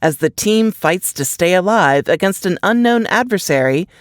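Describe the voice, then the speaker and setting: A woman narrates a scripted story teaser in a slightly low voice with lively, swooping intonation. The recording is close and dry, and the delivery is that of a dramatic book trailer. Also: no echo.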